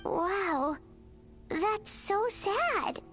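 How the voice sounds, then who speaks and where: A tiny wide-eyed fairy sidekick from a video game. The voice is extremely high and squeaky, slow and very lively in pitch, earnest and childlike. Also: female voice, music in the background, exaggerated voice. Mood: sympathetic. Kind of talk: scripted video game voice acting.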